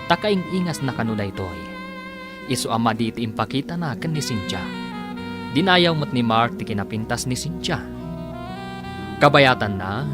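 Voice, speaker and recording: Animated male narrator over music. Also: medium pitch. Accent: Ilocano. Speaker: announcer and narrator. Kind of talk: dramatic scripted radio serial narration.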